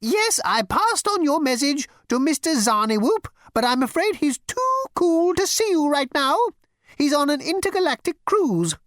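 A woman's voice: sits high, very animated, moderate pace, a bit bright, dry recording, clean studio sound, voice pushed up. A woman voicing a petulant, haughty insect receptionist with tentacles and wings. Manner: icy, haughty, flouncing.

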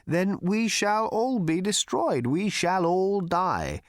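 A man voicing a weary, querulous old man who speaks slowly and gravely. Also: medium pitch, lively intonation, fairly bright tone, no room sound, clean studio sound. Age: older.

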